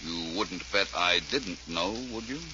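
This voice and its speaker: An American actor playing a confident, smooth-talking private detective in 1940s radio drama, speaking in a deliberate, polite, wry way. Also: male voice, low voice. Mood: cool taunting. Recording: dull, noisy 1940s radio recording.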